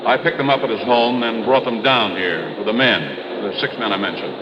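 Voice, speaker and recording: An actor playing a middle-aged mine manager in a 1950s radio drama, explaining things in a matter-of-fact way. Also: male voice, lowish voice, normal speed, highs cut off, a crowd in the background, lightly acted. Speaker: mine official. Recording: old radio broadcast, roomy with hiss.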